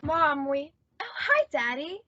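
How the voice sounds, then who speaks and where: A high and bright voice on a dull recording, a woman acting as a teenage girl in a radio comedy. She is naive, devoted and earnest, a sweet daughter who pleads her case. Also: sing-song, slow pace, slight background noise, heavily acted. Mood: cheerful, curious. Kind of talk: old-time radio sitcom acting. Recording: dull, lo-fi audio.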